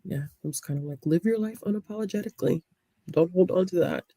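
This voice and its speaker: A woman's voice: fairly low pitch, quick pace, dark tone, dry recording. A woman co-hosting a casual book discussion, talking in an emphatic, conversational way. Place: online group video call.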